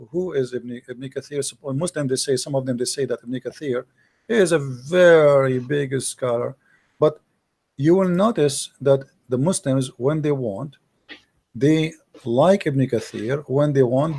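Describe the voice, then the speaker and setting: Brief: male debate host, warm low-key tone, lecturing a caller. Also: unhurried, gravelly, slightly rough. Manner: questioning, explains patiently, reads passages aloud. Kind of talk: live call-in debate, reading texts aloud.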